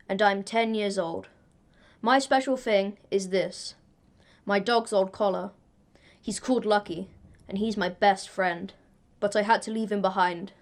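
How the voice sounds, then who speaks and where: A woman's voice: medium pitch, natural voice. A woman with an English accent playing an earnest, plucky ten-year-old evacuee boy in a wartime radio drama, with a childlike way of talking.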